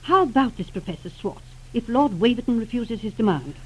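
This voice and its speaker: An actress playing an anxious, inquisitive secretary to a police inspector in an old radio drama. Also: female voice, fairly high pitch, lively intonation, fairly quick, husky. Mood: anxious, curious. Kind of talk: 1940s radio drama acting. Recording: dull old radio recording.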